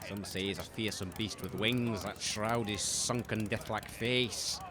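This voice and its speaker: A man playing a shifty, grudging wasteland market trader in a comic audio drama, gruff and dismissive. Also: fairly low pitch, steady tone, a little slow, noisy background, lightly acted. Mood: knowing, dismissive. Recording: busy outdoor crowd and vehicle sounds. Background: street crowd bustle.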